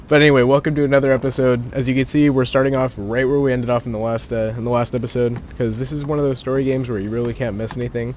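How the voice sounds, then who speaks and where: A man's voice: medium pitch, steady tone, quick pace, darkish tone, a little hiss or hum. A man giving casual gameplay commentary into a desk mic, chatty, laughing often and thinking aloud. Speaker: gaming channel host. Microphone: home desk mic without pop filter.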